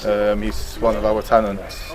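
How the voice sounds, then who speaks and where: A man's voice: lowish voice, completely flat delivery, measured pace, a crowd in the background. A man, a community warden, speaking hesitantly and stumbling over words in an unscripted interview.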